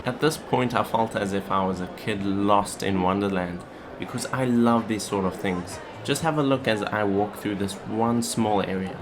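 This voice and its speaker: A man's voice: lowish voice, normal speed, background noise. A young man narrating a scripted vlog. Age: young adult. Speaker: vlogger.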